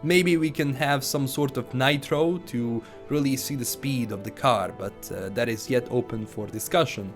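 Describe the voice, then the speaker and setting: A man's voice: mid-range voice, normal speed, few pauses. A man narrating gaming news in an informal, explanatory way, speaking directly to viewers. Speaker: video game news YouTuber. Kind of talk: informal scripted video narration. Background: background music.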